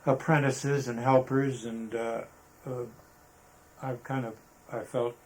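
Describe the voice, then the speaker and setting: An older American man reminiscing casually in an interview, halting and rambling as he talks. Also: mid-range voice, little pitch movement, slight background noise, rough recording. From Arizona, United States. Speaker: interviewee, veteran photographer. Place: indoors, photographer's studio.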